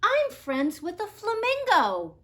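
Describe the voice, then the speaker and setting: A woman's voice: very high voice, wildly swooping pitch, slow pace, sharp tone, voice pushed up, exaggerated voice. A woman voicing an eager, oblivious little male bird who talks in a chirpy, boastful way for a storybook. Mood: proudly boasting. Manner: chirpy, boastful, self-absorbed.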